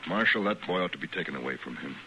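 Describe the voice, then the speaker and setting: An actor playing a principled, bookish sheep rancher with an American accent, articulate and quietly defiant, in an old-time radio western. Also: male voice, rumbling low, expressive, gravelly, full character voice. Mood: earnest, troubled. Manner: articulate, deliberate, earnest. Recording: noisy old radio recording.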